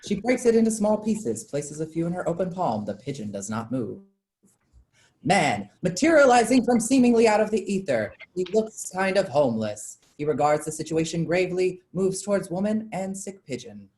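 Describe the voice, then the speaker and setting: A woman's voice: fairly low pitch, sing-song, moderate pace, darkish tone, poor audio. A woman reading stage directions as scripted narration for a staged play.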